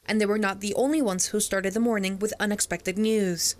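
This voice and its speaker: A woman's voice: mid-range voice, steady tone, a little fast. A woman narrating a scripted television news report as a reporter.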